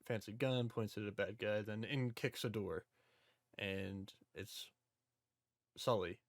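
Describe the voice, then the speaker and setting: A man's voice: lowish voice, no echo. Casual man, close dry sound. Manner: informal, self-described history nerd. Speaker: podcast co-host. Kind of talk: casual chat about video game movies.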